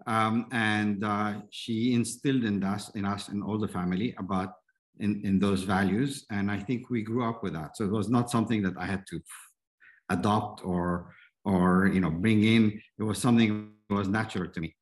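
A man in his fifties, raised in Egypt, speaks over a video call as a panel guest. His voice is lowish, and he talks haltingly, circling back and restarting as he works through his answers. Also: fairly even intonation. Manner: halting, with many restarts and fillers. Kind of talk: answering questions in an online panel. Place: indoor room at home.

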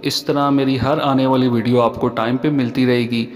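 Male Pakistani vlog host, mid-pitched, polite and halting tour narration, long reverb on the voice. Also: little pitch movement. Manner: halting, polite, addresses viewers formally. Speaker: real estate vlogger and host. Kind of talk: informal site tour narration.

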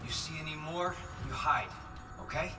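An actor playing a resolute, protective engineer in a video game, in a naturalistic style. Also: male voice, fairly high pitch, normal speed, lightly acted. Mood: tender, protective. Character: resolute male engineer, protective of his partner. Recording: echoey, distant, music and machinery behind.

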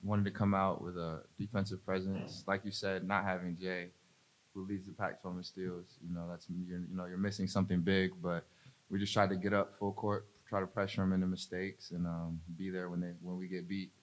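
A young man, a college basketball player, answering reporters at a postgame press conference in a low-key, relaxed way, full of fillers, into a desk mic. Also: a little fast, low-quality recording. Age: young adult. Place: press conference room, seated at a table. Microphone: desk mic on a stand.

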